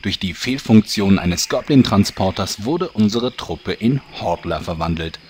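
Narrator, male actor: low, jovial, scripted audio-drama storytelling, slight noise behind. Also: expressive, measured pace, darkish tone. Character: jovial male storyteller addressing his listeners.

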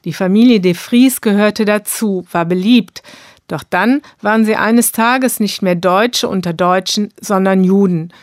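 A woman narrates a radio documentary in a calm, measured voice. The studio sound is dry, with no room echo at all. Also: mid-range voice.

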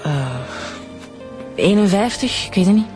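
An actress with a Flemish accent playing a stubborn, guarded daughter under questioning in a radio drama. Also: female voice, medium pitch, animated, a little slow, over music, close to an everyday voice. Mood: stubborn, bitter. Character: murdered doctor's evasive, stubborn daughter.

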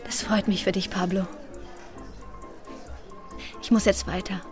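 A woman's voice: mid-range voice, flat delivery, unhurried, music in the background, lightly acted. An actress playing a friendly, breezy young female student in a naturalistic radio drama. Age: young adult. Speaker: actor.

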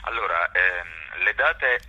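A man speaking as an interview guest over a phone line, explaining things haltingly and searching for words. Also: low-pitched, animated, pushed and bright. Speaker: actor and workshop teacher, interview guest. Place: phone call into a radio programme. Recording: dull, band-limited telephone line.

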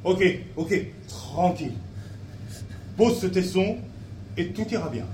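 High-voiced, bumbling police sidekick. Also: male voice, very animated, takes it slowly, warm tone, far from the mic, heavily acted. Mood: placating. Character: male police sidekick, hesitant, earnest and bumbling. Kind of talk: comic audio drama acting. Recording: very echoey, off-mic, noisy industrial setting.

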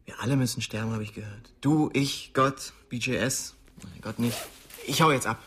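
A man's voice: medium pitch, normal speed, fairly bright tone, a little hiss or hum, natural voice. An actor playing a laid-back, dry young man, casual and offhand, in a radio drama. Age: young adult.

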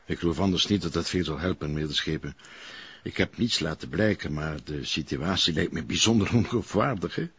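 Very husky, smug Flemish investigator. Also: male voice, sits low, a little fast, fairly bright tone, dry recording, muffled recording, exaggerated voice. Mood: deferential. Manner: formal, insinuating. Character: smug, formal male police investigator, insinuating underling. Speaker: police officer. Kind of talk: naturalistic TV crime drama acting.

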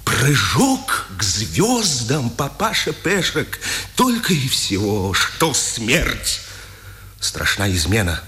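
A man's voice: mid-range voice, wildly swooping pitch, slow, pushed and bright, full character voice. An actor playing an imprisoned resistance man facing execution, theatrical, poetic and intense, in a Soviet radio drama of the 1950s. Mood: impassioned, reflective. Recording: vintage radio recording with hiss.

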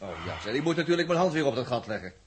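A man's voice: medium pitch, sing-song, very fast, a little hiss or hum, lightly acted. An actor playing a sardonic, grumbling spaceship crewman in a 1960s radio drama, flippant and sarcastic.

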